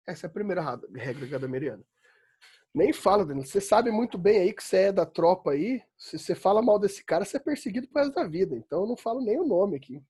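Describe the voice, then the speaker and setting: A man's voice: high voice, a little mellow, slightly rough. A man from São Paulo with a Paulista accent, teaching an online law philosophy class in a chatty, emphatic way, often asking "né?". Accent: Brazilian Portuguese, São Paulo. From São Paulo, Brazil. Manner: chatty, emphatic, rising to exasperated rants, frequent "né?". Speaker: law school philosophy professor. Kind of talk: online lecture. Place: home, online class during quarantine.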